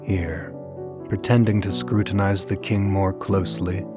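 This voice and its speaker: A low-pitched male audiobook narrator reads a gothic story in a measured, deliberate way. His delivery is scripted and dramatic, with music clearly playing behind the voice. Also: level tone.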